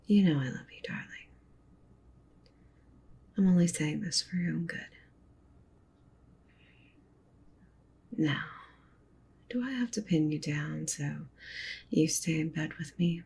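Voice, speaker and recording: Casting: yandere wolf-witch girlfriend, played by a woman, ASMR roleplay. Lowish, creaky, whispery; doting, possessive, gently bossy. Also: measured pace, long pauses, heavily acted. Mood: coaxing, firm. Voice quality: soft, breathy whisper mixed with low murmur. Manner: coaxing, pet names, softly commanding.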